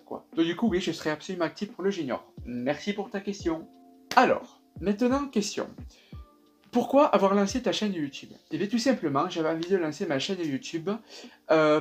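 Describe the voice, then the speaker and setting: A young man in his twenties with a sing-song southern French accent, chatting upbeat in a casual vlog with lots of fillers. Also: on the high side. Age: 20s. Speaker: YouTube reaction creator.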